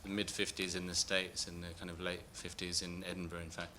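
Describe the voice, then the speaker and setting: A man in the audience with a very low, flat voice and a bright, forceful edge. He rambles and corrects himself, and faint noise sits behind him. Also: fairly quick. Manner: rambling, loose, self-correcting. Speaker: audience member asking a question. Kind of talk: casual audience remark.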